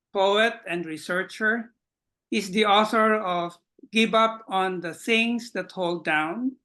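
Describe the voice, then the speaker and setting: A man with a bright, forward voice acts as host of an online webinar. He speaks in a measured, formal and courteous way, as if addressing an audience over a video call. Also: sits high. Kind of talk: welcoming introduction to an online webinar.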